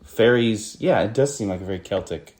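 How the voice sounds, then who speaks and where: A man's voice: on the low side, a little fast, darkish tone. A man from northern Ohio with a General American accent, hosting a casual podcast conversation and talking in a hesitant way, with many fillers and restarts. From northern Ohio, USA. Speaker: podcast host.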